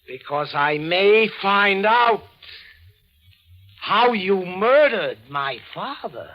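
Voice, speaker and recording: A man's voice: high-pitched, big pitch swings, extremely slow, heavily acted. An actor playing a haunted, suspicious young man telling his own story in a 1940s radio drama, intense and brooding. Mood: accusing. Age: young adult. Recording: dull 1940s radio recording over music.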